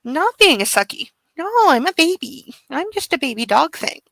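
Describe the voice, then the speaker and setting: Needy baby of a boy dog, voiced by a woman, bright and dry, pleading over and over to be cuddled. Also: high-pitched, sing-song, moderate pace, gravelly, dry recording, full character voice, poor audio. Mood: whiny, cajoling. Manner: pleading, babyish, repetitive phrasing. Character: needy, cuddly male puppy begging mommy for affection.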